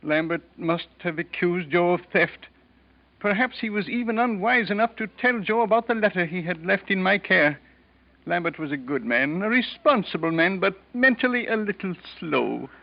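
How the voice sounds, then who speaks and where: A smooth, formal American businessman in a 1940s radio play. His fairly high voice creaks as he speaks in measured, reassuring phrases. The recording is dull and aged. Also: lively intonation. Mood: composed, formal. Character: smooth, self-satisfied male boss concealing his guilt. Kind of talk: 1940s radio drama acting.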